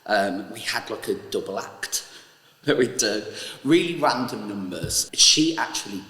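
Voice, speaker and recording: A man's voice: fairly low pitch, lively intonation, a little slow, bright, forceful tone. A man, an actor, earnestly describing how he got into performing. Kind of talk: reminiscing interview. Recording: echoey hall.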